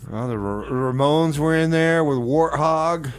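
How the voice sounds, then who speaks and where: A man's voice: a little high, unhurried. A man hosting a radio music show, rambling through loose, world-weary banter as he introduces songs. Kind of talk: loose on-air banter with comic melodrama.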